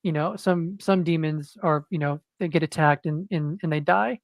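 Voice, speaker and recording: A man speaking as a guest comic book creator in a casual interview, monotone and hesitant, with many fillers and restarts. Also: fairly high pitch, moderate pace, gravelly, no echo.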